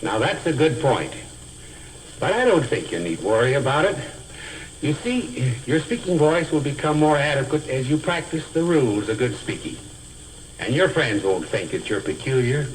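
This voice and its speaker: Male instructor for a vintage teaching film: didactic, deliberate, lively pitch swings, light hiss on the track. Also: poor audio. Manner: deliberate, carefully enunciated, didactic. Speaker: speech teacher. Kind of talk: scripted instructional film lecture. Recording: hissy old educational film soundtrack.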